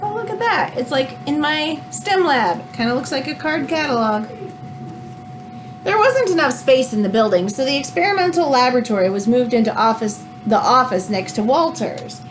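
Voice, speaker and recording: A woman reads a children's book aloud in an expressive, teacherly way, adding explanatory asides as she goes. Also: sits high, normal speed, slight background noise, rough recording.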